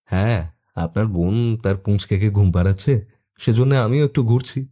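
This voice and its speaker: Low, mellow male story narrator. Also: normal speed. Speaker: narrator and detective's voice. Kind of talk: scripted detective story narration.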